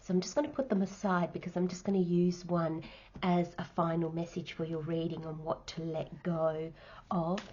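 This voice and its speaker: A woman giving a tarot reading, nasal and croaky from hay fever, speaking softly and sometimes slipping into a whisper. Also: fairly low pitch, little pitch movement, dull audio, a little hiss or hum. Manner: soft, unhurried, drops into whispers while laying cards. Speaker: tarot reader.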